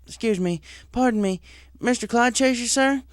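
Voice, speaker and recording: A man's voice: extremely high pitch, moderate pace, no echo, studio quality, voice pushed up. A man voicing a friendly, easily surprised female farm pony in a story reading. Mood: polite, calling out.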